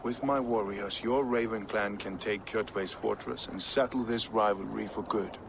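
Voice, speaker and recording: A man playing a calm, courteous Norse king in a video game, measured and formal. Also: on the low side, vocal fry, noisy background. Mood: composed, diplomatic. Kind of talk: dramatic video game acting.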